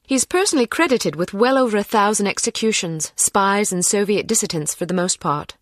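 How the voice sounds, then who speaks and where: A woman plays a young British secret agent in a spy game. Her voice is medium in pitch, articulate and tart, with a determined edge. It is recorded close, with no room sound. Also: animated, a little fast, no room sound, lightly acted. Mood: matter-of-fact briefing. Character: determined young female British spy, sharp-tongued, impatient. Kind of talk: video game voice acting.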